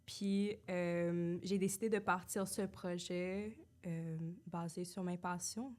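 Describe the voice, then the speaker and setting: A woman's voice: lowish voice, fairly even intonation, slow pace, darkish tone. A young woman, an English speaker, co-hosting a casual chat podcast in French, hesitant with many fillers. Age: young adult. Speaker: podcast co-host.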